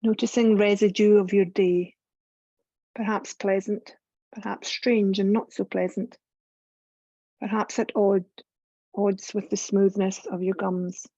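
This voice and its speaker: A woman leading a guided meditation as a yoga teacher, speaking in a soothing, unhurried, instructive way. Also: mid-range voice, level tone, warm tone, no room sound, dull audio. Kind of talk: guided meditation, scripted narration.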